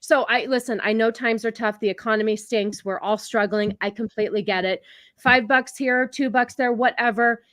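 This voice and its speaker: A woman's voice: fairly high pitch, fairly even intonation, moderate pace, fairly bright tone, no echo. A woman chatting as a podcast guest in an earnest, heartfelt and self-deprecating way from her home streaming setup. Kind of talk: casual chat.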